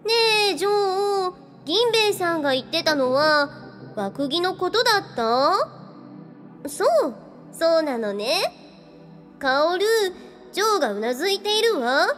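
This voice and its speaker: A woman plays a glamorous lady ghost with an extremely high, swooping voice. She is coy and flirtatious, teasing and flattering in radio-drama fashion, over faint music. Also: a little slow, exaggerated voice. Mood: curious.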